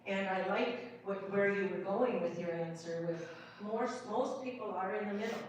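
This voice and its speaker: A woman's voice: medium pitch, normal speed. A woman making unscripted remarks as a local group organizer at a town hall. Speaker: local political group founder. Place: indoor town hall meeting room. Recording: distant, very echoey room, faint noise.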